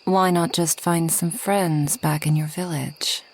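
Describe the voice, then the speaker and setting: A woman playing a reclusive, ageless forest witch in a soft ASMR roleplay, gentle and wry, often slipping toward a whisper. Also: low voice, steady tone, takes it slowly, exaggerated voice. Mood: soft, wistful. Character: reclusive, centuries-old kind but proud forest witch.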